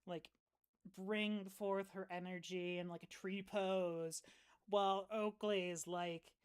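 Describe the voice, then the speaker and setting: A woman's voice: medium pitch, fairly even intonation, takes it slowly, smooth. A woman casually narrating a tabletop game as game master, improvising and often searching for her words.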